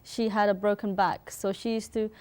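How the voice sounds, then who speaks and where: A woman's voice: mid-range voice, level tone, normal speed, darkish tone, clean tone. Informal woman from Sri Lanka, being interviewed. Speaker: interview guest, artist and photographer. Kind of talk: casual interview answers.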